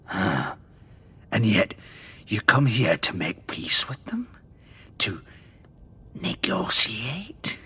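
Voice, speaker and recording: Rasping, gravelly alien warlord. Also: male voice, lowish voice, huge pitch swings, very slow, long pauses, sharp tone, exaggerated voice. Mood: scornful. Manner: pompous, declamatory, threatening. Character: menacing, fanatical male alien warlord, servant of conquerors. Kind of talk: audio drama acting.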